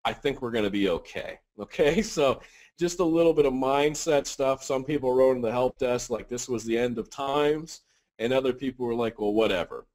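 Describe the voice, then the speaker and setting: A man giving a casual business webinar update, working through percentages out loud with a reassuring, rambling manner. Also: mid-range voice. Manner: casual, talks math out loud, frequent fillers and restarts, reassuring. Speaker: company leader on webinar.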